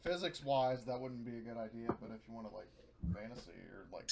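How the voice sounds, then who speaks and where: A man chatting casually during a tabletop game, explaining things in a halting, hedging way. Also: medium pitch.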